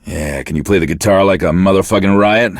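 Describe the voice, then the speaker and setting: Deep-voiced, bitter, deadpan male radio DJ. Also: brisk, dry recording, studio quality. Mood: sardonic, resigned. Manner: deadpan, sardonic, world-weary. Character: bitter, self-pitying male radio DJ with a wrecked life. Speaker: radio DJ host. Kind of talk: scripted radio DJ patter for a game.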